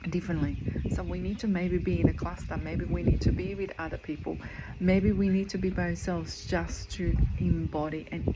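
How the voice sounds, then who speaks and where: Low-voiced Croatian woman giving earnest encouragement. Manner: earnest, repeats phrases for emphasis. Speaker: spiritual coach and medical intuitive. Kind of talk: motivational talk. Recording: noticeable background noise.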